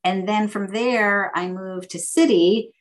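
A woman in her fifties from San Francisco, a banking executive answering interview questions in a measured, orderly way, laying out her points as lists. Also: mid-range voice. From San Francisco, United States. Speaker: guest, banking executive and board director.

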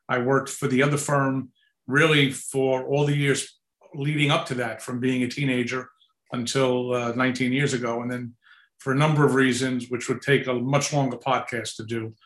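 A man in his sixties with a New York accent, a company founder chatting in an interview, relaxed and rambling with many fillers. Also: mid-range voice. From New York City. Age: 60s. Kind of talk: casual interview chat. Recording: roomy echoey sound.

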